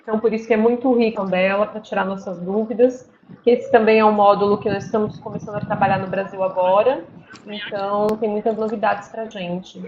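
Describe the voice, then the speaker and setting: A woman's voice: medium pitch, fairly even intonation, a little fast, a little mellow, slight background noise. A woman moderating a webinar, introducing the guest and relaying questions in a friendly, conversational way. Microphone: video call. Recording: dull lo-fi audio.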